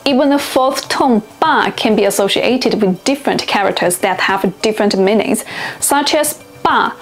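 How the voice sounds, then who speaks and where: A young woman, a native Chinese speaker, narrating a scripted language lesson and slowing down carefully on example words. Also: a little high. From China. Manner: clear, deliberate, slows down to pronounce example words. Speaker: language teacher and video host.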